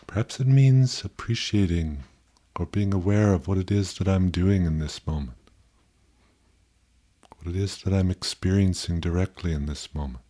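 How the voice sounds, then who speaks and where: Low, mellow male meditation guide. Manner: gentle, contemplative, pausing, self-questioning.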